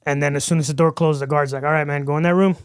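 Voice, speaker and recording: A man with a smooth, clear voice, a touch higher than most men, tells his story as a podcast guest and acts out the lines he quotes. He is from Miami. The sound is dry and close, with no room echo. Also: rapid-fire, faint music behind. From Miami, Florida. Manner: animated storyteller who acts out quoted dialogue. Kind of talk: storytelling in a casual interview.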